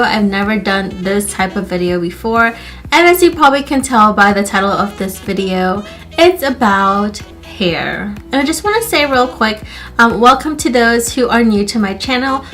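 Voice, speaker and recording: A woman's voice: on the high side, fairly bright tone. A woman with a stuffy nose chatting casually as she walks through a hair tutorial for her channel. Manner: chatty, friendly, repeats "literally" and "like". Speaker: beauty YouTuber.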